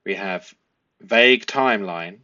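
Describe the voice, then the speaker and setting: A man explaining a transcript analysis as a host, speaking deliberately and posing rhetorical questions. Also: on the low side, sharp tone, dry recording, highs cut off. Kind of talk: explanatory analysis of a transcript, part read aloud.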